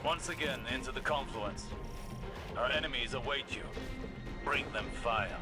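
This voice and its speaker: An actor playing a stern, skeptical warrior ally in a video game, speaking in a formal, dry, measured way. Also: male voice, mid-range voice, over music, natural voice. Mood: commanding.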